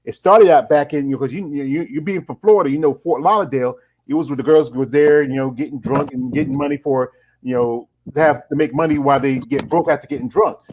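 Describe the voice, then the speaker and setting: A man's voice: medium pitch, darkish tone, slight background noise. A middle-aged man hosting a casual live-stream interview and reading out viewer comments, rambling and leaning on "you know" fillers. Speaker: host.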